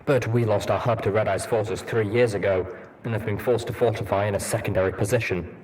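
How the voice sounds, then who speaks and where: A gruff, low-pitched soldier stallion performed by a man from a post-apocalyptic pony audiobook. He is stoic and formal, choosing his words in a measured, blunt manner. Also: steady tone, darkish tone, close to an everyday voice, low-quality recording. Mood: reporting.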